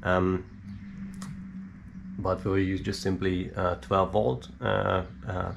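A man giving an unscripted hands-on technical explanation in a hesitant, halting way, thinking aloud with many pauses. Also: low-pitched, little pitch movement, gravelly, highs cut off, noisy background. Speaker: hobbyist presenter showing his equipment. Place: indoor room at a cluttered worktable.

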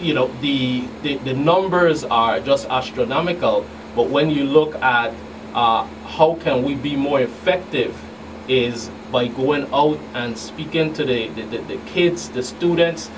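Middle-aged male agent as radio guest, halting delivery with repeated words, distant mic, clear background hum. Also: medium pitch. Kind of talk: radio interview answers. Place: small radio studio.

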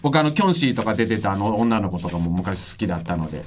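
A man with a Kansai accent chats as a comic radio co-host. He talks quickly and his pitch leaps around in animated, exaggerated reactions. The recording has clear background noise. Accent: Kansai Japanese. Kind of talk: comedic casual radio chat.

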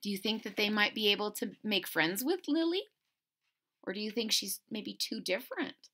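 A middle-aged woman reading a picture book aloud to children, expressive and deliberate, pausing to question her listeners. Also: medium pitch. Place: small indoor room at home.

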